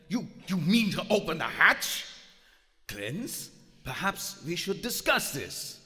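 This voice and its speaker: A man's voice: sits high, big pitch swings, slow, sharp tone, full character voice. A man playing a haughty, coldly rational German submarine commander in a live radio drama, with formal, precise, theatrical diction. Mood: alarmed, indignant. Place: on stage in a large historic theater. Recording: live theater hall with reverb, music behind.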